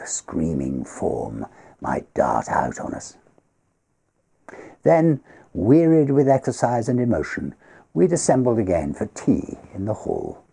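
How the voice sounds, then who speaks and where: Male storyteller, low and very husky, theatrical measured phrasing for literary ghost tales. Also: dark tone. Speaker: story reader.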